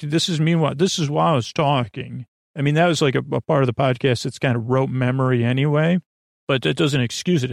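A man hosting a bedtime podcast in a gentle, reassuring, conversational monologue that meanders, halts and interrupts itself. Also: mid-range voice, creaky, no room sound, studio quality. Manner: meandering, halting, self-interrupting sentences that trail off. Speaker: podcast host.

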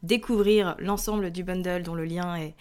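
A woman's voice: lowish voice, steady tone, moderate pace, darkish tone, smooth. A woman hosting a podcast in a casual, conversational style. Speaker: podcast host. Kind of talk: casual podcast interview and host announcements.

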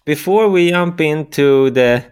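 A man hosting an interview podcast, asking questions in a friendly, slightly halting way. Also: a little high, a little mellow, clear, resonant tone. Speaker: podcast host.

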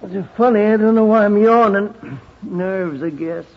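An actor playing a drowsy old printer who rambles in a folksy, repetitive way with a husky, creaky voice in a radio drama. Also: male voice, sits high, a little slow, exaggerated voice. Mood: drowsy, rambling. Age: older. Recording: dull old radio recording.

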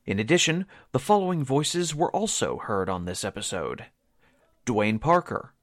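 A male announcer with an animated, swinging intonation and a medium pitch. He reads scripted radio theater credits in formal, theatrical phrasing, recorded very dry and close. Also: no room sound.

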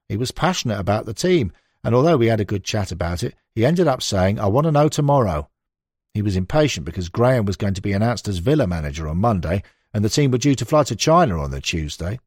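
A man voicing a blunt, animated football manager reminiscing. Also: fairly low pitch, brisk, no echo, clean studio sound. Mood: animated recollection. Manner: conversational, emphatic, blunt storytelling. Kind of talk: acted interview quotations in an audiobook.

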